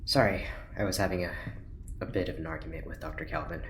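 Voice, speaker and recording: An actor playing an earnest, worried scientist doctor in an audio drama, with a careful, clinical manner. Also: male voice, sits low, steady tone, fast. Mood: clinical, intent. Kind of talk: naturalistic audio drama acting. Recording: roomy, echoing, faint background noise.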